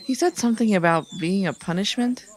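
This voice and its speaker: Actress as self-assured female trooper, mid-pitched, casual teasing delivery, sci-fi drama. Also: brisk, lightly acted, poor audio. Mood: reflective. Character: wry, confident female sci-fi soldier. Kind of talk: scripted sci-fi drama dialogue.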